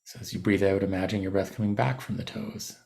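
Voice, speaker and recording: A man leading a guided body scan meditation as a teacher, giving gentle, unhurried instructions with pauses mid-phrase. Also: sits low, fairly even intonation, vocal fry.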